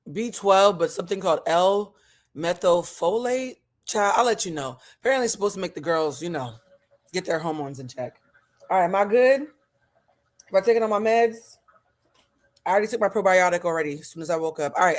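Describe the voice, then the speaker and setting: A husky-voiced host in their forties talks straight to the camera in a loose, unplanned vlog. The delivery is chatty and frank, with plenty of casual swearing. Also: medium pitch. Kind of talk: casual impromptu chat.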